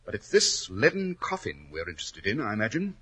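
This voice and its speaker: A commanding detective in vintage radio drama. He speaks with a forceful edge and slightly rough tone, swinging widely in pitch, formal and dryly ironic on an old 1940s recording. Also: huge pitch swings, fairly quick, exaggerated voice. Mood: calm, assured.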